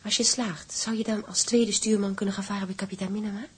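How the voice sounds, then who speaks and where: An actress playing a gentle, devoted young seaman's wife in a radio drama, with a tender manner. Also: mid-range voice, steady tone, fast talker, husky. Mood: hopeful, tender. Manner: tender, domestic.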